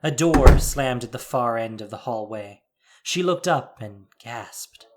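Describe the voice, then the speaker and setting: A narrator of medium register giving an expressive, dramatic scripted reading of fiction. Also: medium pitch, a little slow, very noisy.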